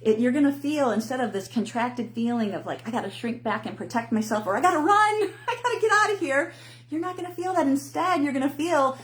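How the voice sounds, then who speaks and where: Lively woman with an expressive voice. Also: sits high, fairly quick. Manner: animated, often laughs, acts out inner voices. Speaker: host, intuition coach. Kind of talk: casual live-stream talk to viewers.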